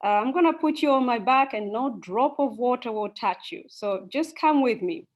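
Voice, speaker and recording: Woman doing a smooth-talking male shark, folk tale, coaxing, friendly, raised voice. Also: a little high, animated, moderate pace, pitched above the performer's own voice. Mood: reassuring.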